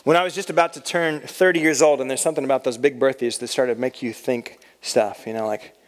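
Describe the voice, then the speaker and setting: A man's voice: mid-range voice, steady tone, fast talker. A man in his thirties giving a chapel talk to college students, conversational and self-deprecating. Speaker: chapel speaker. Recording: long reverb of a hall.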